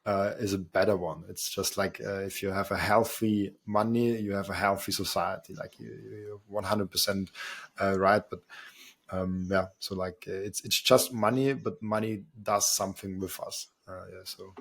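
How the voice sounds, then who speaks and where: Low-voiced male host. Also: steady tone, moderate pace, vocal fry, fairly bright tone, husky. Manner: agreeing eagerly, with frequent "uh"s and repeated words. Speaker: podcast host. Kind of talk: casual interview talk.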